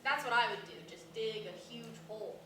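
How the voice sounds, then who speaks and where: An echoey, noisy recording of an actress playing a blunt, talkative woman in a stage play. Her voice sits fairly high, and she speaks in a casual, self-deprecating, naturalistic way with some swearing. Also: brisk, far from the mic, natural voice. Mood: confident, frank. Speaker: actor in a staged play reading. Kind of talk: naturalistic stage dialogue before a live audience.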